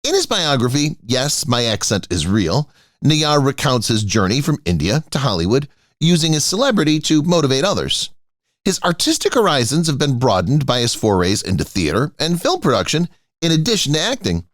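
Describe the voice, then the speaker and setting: Male voice-over narrator, very animated intonation, dry close recording. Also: medium pitch, normal speed, no echo. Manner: announces each name as a raised heading. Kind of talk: scripted celebrity narration.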